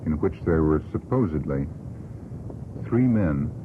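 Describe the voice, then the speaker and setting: A man with an extremely deep voice speaks on a radio panel. He is dry and measured. The old recording is narrow like a phone line, with clear noise behind him. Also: expressive. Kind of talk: unscripted radio panel discussion. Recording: muffled, noisy vintage radio broadcast.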